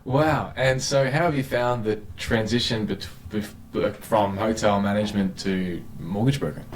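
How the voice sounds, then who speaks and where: A man's voice: fairly low pitch, measured pace. A man hosting an interview, enthusiastic, often reacting with wow. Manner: enthusiastic, keeps saying wow. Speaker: podcast host. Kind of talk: casual interview chat. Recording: echoey room with faint noise.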